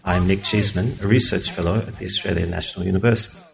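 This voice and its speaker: A very low male voice with a gravelly creak. He hosts an interview show, delivering prepared introductions and questions in a measured, orderly manner. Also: fairly even intonation, a bit bright, faint music behind, rough recording. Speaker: podcast host, research fellow.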